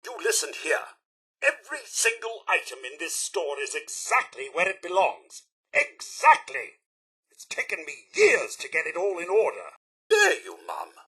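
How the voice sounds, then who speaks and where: An actor playing a fussy, servile robot butler in a video game, with a British accent, a raspy voice and pompous, overly formal manners. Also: male voice, high-pitched, huge pitch swings, measured pace, bright, forceful tone, full character voice. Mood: indignant. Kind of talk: theatrical video game character lines.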